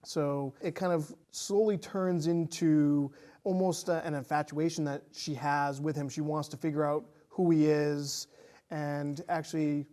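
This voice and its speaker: A man's voice: fairly high pitch, steady tone, a little slow, mellow. A man speaking as a podcast guest, chatting casually about films.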